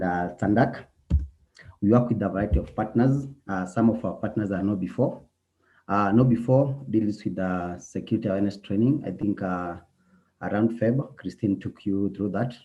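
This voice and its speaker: Hesitant low-voiced man on dull call audio. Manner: hesitant, many "uh" pauses. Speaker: webinar introducer. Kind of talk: webinar introduction.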